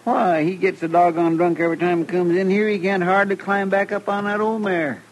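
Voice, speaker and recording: An actor playing a folksy, hard-up frontier sidekick with a rural Western drawl in an old-time radio western. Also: male voice, high voice, level tone, a little fast, natural voice. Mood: scornful, animated. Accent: rural Western American drawl. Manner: folksy, rambling, colloquial. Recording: noisy old radio drama recording.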